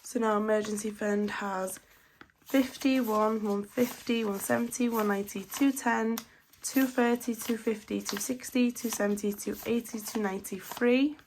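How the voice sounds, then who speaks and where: A woman's voice: a little high, moderate pace, a little hiss or hum, low-quality recording. A woman, chatting casually and counting cash aloud, slightly blocked up from a cold. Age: 20s. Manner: chatty, steady counting of notes. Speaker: budgeting vlogger.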